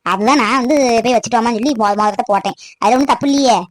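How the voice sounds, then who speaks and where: A woman's voice: fairly high pitch, very fast, slight background noise, natural voice. A woman playing a protective middle-aged aunt in a family drama, chatty and insistent, with a colloquial southern Tamil Nadu accent. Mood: aggrieved, confiding.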